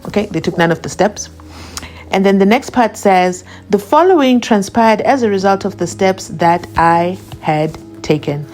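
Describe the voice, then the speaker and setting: South African woman reading a document slowly. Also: mid-range voice, a little mellow. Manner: reads slowly and deliberately, adding chatty asides. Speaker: commentary channel host. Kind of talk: reading a document aloud with commentary.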